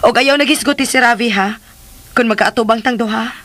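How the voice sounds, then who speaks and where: Earnest, sorrowful young adult daughter. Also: female voice, fairly high pitch, brisk, fairly bright tone. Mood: tender, pleading. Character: sorrowful, caring young adult daughter looking after her mother. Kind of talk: Philippine radio drama acting.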